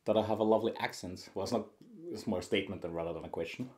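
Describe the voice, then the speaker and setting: A man's voice: lowish voice, rapid-fire, darkish tone. A man from Austria with a Viennese accent tinged with Scottish, hosting an ASMR eating video and chatting casually between bites. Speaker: mukbang host.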